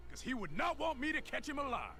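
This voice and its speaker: An actor playing a swaggering, gung-ho tough fighter in a video game cutscene. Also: male voice, very high-pitched, very animated, fast talker, vocal fry, a little hiss or hum, exaggerated voice. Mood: grim anger. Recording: loud game music behind.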